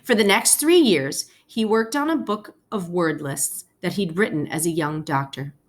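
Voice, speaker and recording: Woman reading a storybook aloud, lowish voice. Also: lively intonation, normal speed. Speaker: teacher reading a picture book aloud. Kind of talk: read-aloud storybook narration.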